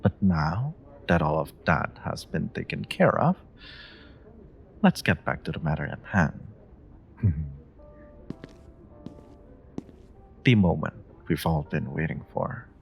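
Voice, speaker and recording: A man playing a suave, teasing stranger who flirts in an intimate audio roleplay, pausing and hesitating playfully as he talks. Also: extremely low pitch, sing-song, creaky, faint music behind, full character voice. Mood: teasing, charming. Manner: teasing, hesitant pauses, frequent soft chuckles.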